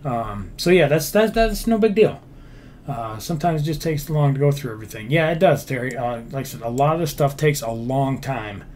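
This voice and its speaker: A man's voice: mid-range voice, animated, fairly quick, darkish tone, slight background noise. A man hosting a casual livestream, reading viewer comments and answering them bluntly, swearing freely and rambling through lots of fillers and restarts. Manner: profane, blunt. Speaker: livestream host, game builder.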